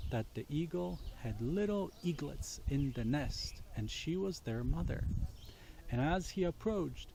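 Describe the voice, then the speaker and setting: Slow-paced man telling children's stories. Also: on the high side, very noisy. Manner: deliberate, explains and puts questions to listeners. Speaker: children's storyteller. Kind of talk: storytelling for children.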